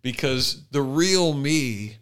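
Bright, forceful man making reflective points. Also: medium pitch, animated, slow pace, clean tone. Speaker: podcast co-host. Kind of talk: conversational commentary.

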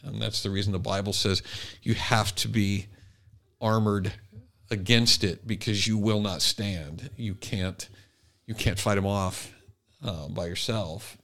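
A middle-aged or older man chatting casually as a podcast co-host, halting and restarting his phrases as he goes. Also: on the low side, steady tone, creaky, fairly bright tone. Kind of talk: casual conversational podcast talk.

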